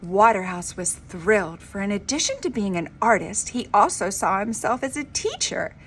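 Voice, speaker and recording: A woman reading a children's storybook aloud like a teacher, with an expressive, emphatic delivery. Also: medium pitch, moderate pace. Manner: dramatic, emphatic delivery with informal asides. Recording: clearly noisy homemade recording.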